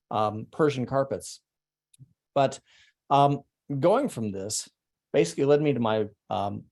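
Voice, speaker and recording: A middle-aged man presenting his artwork at an online salon, speaking haltingly with many ums and restarts. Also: fairly low pitch, a little mellow. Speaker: presenting artist. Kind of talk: online salon artist talk.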